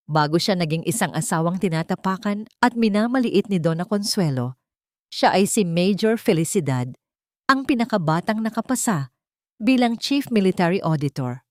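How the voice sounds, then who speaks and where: A woman's voice: lowish voice, darkish tone, no echo, studio quality. A woman with a Filipino accent reading a scripted dramatic story as its narrator.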